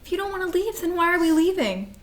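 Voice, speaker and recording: A woman's voice: very high-pitched, sing-song, fast talker, a bit bright, full character voice. A woman in a staged play reading, playing a needy, anxious teenage younger sister with a plaintive, questioning way of talking.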